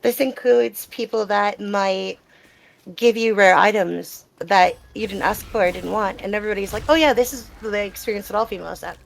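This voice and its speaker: A woman with a mid-range voice and plenty of vocal fry speaks as a gamer in a live Q&A. Her talk is casual and personal. She explains her views in a reflective, conversational manner. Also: medium pitch, normal speed, slight background noise, poor audio. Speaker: gamer being interviewed.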